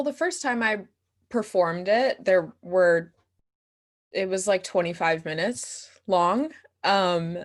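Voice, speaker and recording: Candid young woman with a slightly low voice. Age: young adult. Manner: candid, self-deprecating, animated, giggly. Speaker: guest, playwright and solo performer. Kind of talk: casual interview answers.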